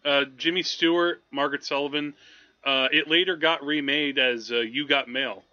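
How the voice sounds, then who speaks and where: A man's voice: a little high, fairly even intonation, moderate pace, pushed and bright, muffled recording. A man talking through films casually as a podcast host, in a rambling, conversational way. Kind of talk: casual film discussion.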